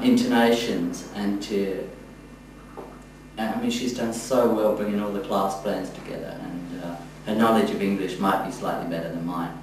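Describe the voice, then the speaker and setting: Low-voiced man coaching in an echoey room. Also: darkish tone. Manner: halting, searching for words, hesitant phrasing. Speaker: yoga teacher trainer leading a group class. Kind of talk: informal spoken coaching of a group. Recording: echoey room, distant mic, background noise.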